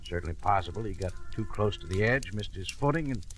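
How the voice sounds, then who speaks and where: A man's voice: on the low side, lively intonation, a little fast, natural voice. A male actor playing a matter-of-fact police detective in an old-time radio drama, terse, blunt and a little wry. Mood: brisk, wry. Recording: old radio recording with faint noise.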